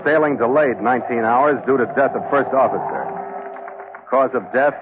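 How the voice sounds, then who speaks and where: A man's voice: medium pitch, normal speed, lightly acted. An actor playing a tough, wry sea captain narrating his logbook in a clipped, hardboiled 1940s radio drama style. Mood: terse, official. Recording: tinny 1940s radio recording with music.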